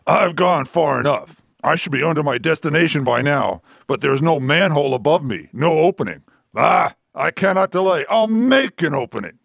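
A man voicing a huge monstrous man, gruff and gravelly, weary and scornful, in an amateur superhero radio play. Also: on the high side, lively intonation, normal speed, fairly bright tone, dry recording, clean studio sound, full character voice. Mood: angry scornful. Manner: grumbling, melodramatic.

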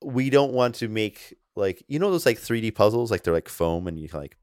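A man's voice: fairly low pitch, lively intonation, a little fast, a little mellow, dry recording, studio quality. A man co-hosting a casual fantasy hockey podcast, rambling with lots of fillers and restarts. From half Japanese. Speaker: podcast co-host.

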